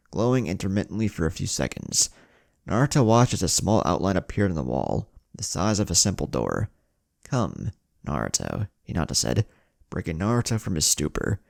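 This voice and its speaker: Deep-voiced, gravelly male storyteller. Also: darkish tone, dry recording, studio quality. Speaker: fan fiction narrator. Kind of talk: scripted story narration.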